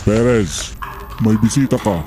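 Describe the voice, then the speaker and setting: An actor playing a formal, polite jail officer in an audio drama. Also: male voice, fairly low pitch, measured pace, lightly acted. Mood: businesslike. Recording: loud music under the voice.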